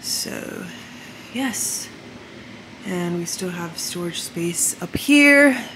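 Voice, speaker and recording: A woman's voice: fairly low pitch, animated, extremely slow, a bit bright, a little hiss or hum, low-quality recording. A woman in her twenties with a congested voice from the flu, chatting casually to the camera at her vanity in her bedroom, full of "like" fillers. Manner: chatty, storytelling, lots of "like" fillers. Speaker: lifestyle vlogger. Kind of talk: casual vlog chat to camera. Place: home bedroom, indoors.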